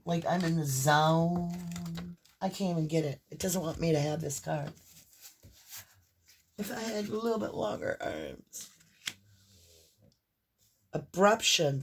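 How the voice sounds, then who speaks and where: A woman with a hoarse voice and a mixed Southern and Northern American accent, giving a casual, rambling tarot reading straight to the viewer. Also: deep voice, a little hiss or hum. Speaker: tarot reader.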